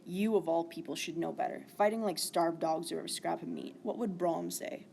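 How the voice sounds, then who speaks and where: A woman's voice: on the low side, normal speed, vocal fry, a little mellow. A woman reading the part of a stern, impatient female dragon who scolds and commands in a storybook. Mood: stern, scolding.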